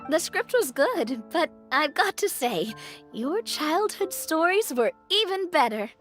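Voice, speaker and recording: An actress playing a cheerful, curious young woman in a video game, friendly, tactful and expressive. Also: high-pitched, measured pace, soft background music, exaggerated voice. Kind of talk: scripted video game dialogue.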